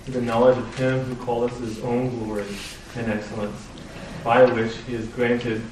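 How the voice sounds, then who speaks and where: A man in a church class reading a scripture passage aloud in a quiet, flat way. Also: mid-range voice, normal speed. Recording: distant, echoey, noisy room.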